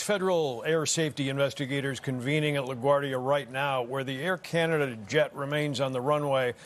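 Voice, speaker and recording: An American man giving a scripted investigative news report with a measured, emphatic broadcast delivery. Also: medium pitch.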